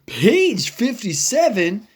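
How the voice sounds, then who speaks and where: A man's voice: sits high, huge pitch swings, slow pace, husky, exaggerated voice. Man doing a naive, anxious storybook elephant, drawn out. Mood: panicked. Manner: wondering, earnest, easily astonished. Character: big, naive, anxious male elephant from a picture book. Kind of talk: animated children's read-aloud.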